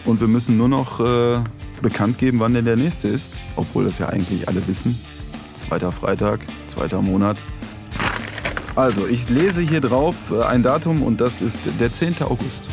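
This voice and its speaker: A man's voice: medium pitch, moderate pace, over music. A man co-hosting a podcast in casual chat, playfully teasing. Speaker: podcast co-host.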